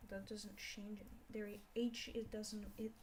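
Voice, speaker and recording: A young woman with a Scottish accent chatting casually on an art livestream, rambling and scolding herself out loud in exasperation. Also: medium pitch, level tone, unhurried, a little hiss or hum. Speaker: art streamer. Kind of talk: casual livestream chat while drawing.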